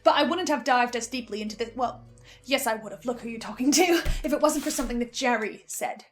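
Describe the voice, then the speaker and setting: Excitable lady doing theory commentary, high voice, cuts herself off, music behind her. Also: fast talker. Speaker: podcast listener giving reaction commentary.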